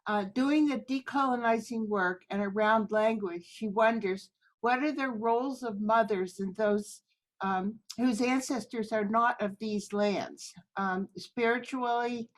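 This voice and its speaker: Higher-voiced woman reading a question. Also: low-quality recording. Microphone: video call.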